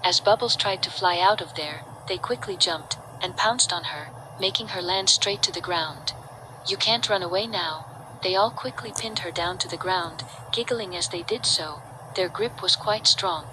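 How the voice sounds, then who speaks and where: A woman's voice: lowish voice, normal speed. A woman reading a scripted story as its narrator. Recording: clearly noisy background.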